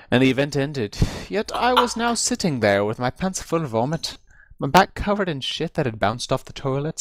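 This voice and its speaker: A man's voice: on the high side, no room sound. A man reading a humorous first-person story aloud with theatrical, emphatic delivery, drawing out stressed phrases, recorded close up over online voice chat. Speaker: amateur reader of a comic story.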